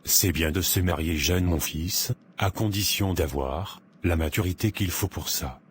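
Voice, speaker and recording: A man playing a calm, measured, devout father in an animated drama, speaking formally. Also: low-pitched, husky. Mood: serious, advising. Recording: music behind the voice.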